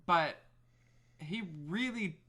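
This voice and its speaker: A man's voice: high-pitched, expressive, extremely slow, bright, forceful tone. A man co-hosting a gaming podcast, casually trading opinions on game strategy. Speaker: podcast co-host.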